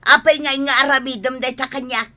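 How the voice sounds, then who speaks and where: Sharp, nagging village wife, played by a woman. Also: high voice, fairly even intonation, brisk. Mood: indignant. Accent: Ilocano. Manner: nagging, commanding. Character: bossy, nagging, grudge-holding village wife. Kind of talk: Ilocano comedy radio drama acting.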